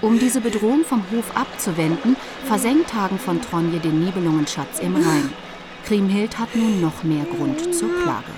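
A woman's voice: mid-range voice, big pitch swings, normal speed. A woman reading scripted narration and announcements for a radio drama.

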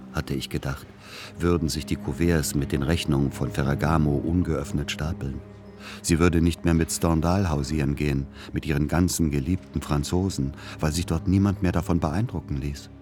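Middle-aged son narrating a radio play, really deep voice, measured and melancholy, music underneath. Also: male voice. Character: melancholy grown son recounting his past. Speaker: first-person narrator.

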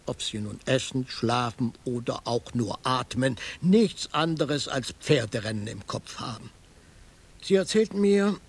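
An actor playing a dry, observant detective who narrates his case in a radio crime play with wry, measured storytelling. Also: medium pitch, darkish tone, slightly rough. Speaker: first-person narrator.

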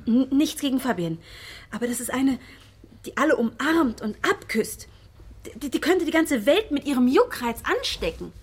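An actress playing a brusque, impatient shop saleswoman in a radio play, with a husky voice. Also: sits high, sing-song, moderate pace, heavily acted. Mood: chatty, uneasy. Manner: blunt, impatient. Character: bored, brusque phone-shop saleswoman, gossipy and squeamish.

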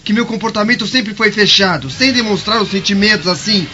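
Nobre romano indignado, voz bem aguda. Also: male voice, high-pitched, a little fast, bright, forceful tone, a little hiss or hum. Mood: indignant. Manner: indignant, proud, formal. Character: reserved, indignant young Roman nobleman defending himself. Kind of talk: radio drama acting. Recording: dull lo-fi radio, music underneath.

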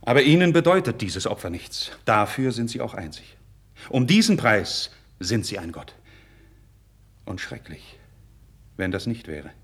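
A man's voice: on the low side, big pitch swings, a little slow, long pauses, breathy edge, heavily acted. An actor playing an idealistic, proud young nobleman in a classical radio drama, with earnest, declamatory, formal diction. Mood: fervent. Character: idealistic young nobleman, passionate, proud, candid before his king. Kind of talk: 1950s German radio drama, classical verse acting.

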